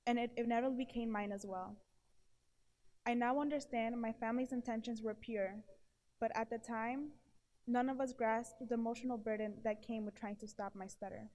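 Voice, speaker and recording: A woman's voice: on the high side, a little mellow. A woman in her early twenties with a stutter, earnestly delivering a prepared speech to an audience. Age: early 20s. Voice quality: stutter with blocks and repeated syllables. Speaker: award presenter giving a testimonial.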